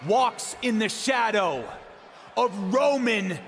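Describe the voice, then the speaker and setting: An actor playing a cocky champion wrestler cutting an emphatic, halting promo on the microphone in a video game. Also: male voice, sits high, animated, vocal fry, a bit bright, full character voice. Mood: defiant. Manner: emphatic, halting phrases for effect. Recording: echoey arena PA with crowd noise.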